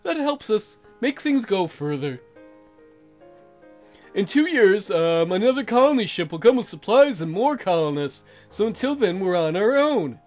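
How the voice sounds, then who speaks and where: A man's voice: high voice, big pitch swings, normal speed, darkish tone. A man voicing an upbeat, folksy mayor making announcements to a space colony in a visual novel, with music behind. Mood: upbeat, rallying.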